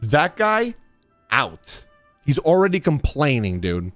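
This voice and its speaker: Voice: medium pitch, big pitch swings, slow pace, a bit bright, faint music behind. A streamer giving casual, playful and jokey gaming reaction commentary in slangy speech.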